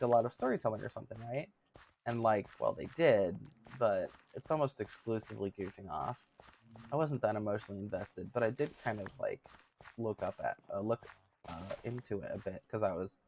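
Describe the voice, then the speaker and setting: A man in his thirties with a somewhat high-pitched voice, chatting casually with livestream viewers in a giggly, chatty, self-mocking way. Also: animated, moderate pace, creaky, a little hiss or hum. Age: 30s. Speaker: streamer.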